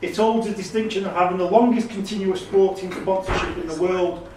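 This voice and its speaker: High-pitched male quizmaster, echoey. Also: fairly even intonation, brisk. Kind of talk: reading quiz questions aloud and ruling on answers. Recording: roomy, distant, noisy recording.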